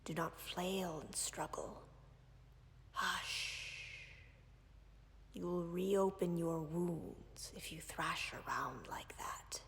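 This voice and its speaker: A woman voicing a calm, ancient female dragon who tends a wounded human, speaking in a whispery, gravelly, measured and formal way in an audio roleplay. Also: lowish voice, big-room sound, exaggerated voice. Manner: measured, formal, dryly commanding.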